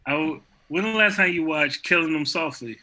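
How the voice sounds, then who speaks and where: Thirties host guy, super bright voice, slightly high, teases folks. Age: 30s. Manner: teasing, quick to laugh. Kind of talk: casual chat.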